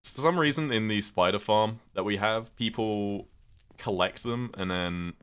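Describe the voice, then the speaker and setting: A young man with a British accent and a notably deep voice talks loosely to his live chat as he plays. He drifts between topics, filling pauses with "um" and "like". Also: smooth. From Britain. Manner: rambling, chatty, lots of "um" and "like". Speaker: streamer. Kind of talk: casual live-stream chat while gaming.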